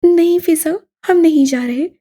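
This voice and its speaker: A woman voicing eager, teasing young women chatting among friends in an audio novel, with an animated manner. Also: extremely high pitch, unhurried, voice pushed up.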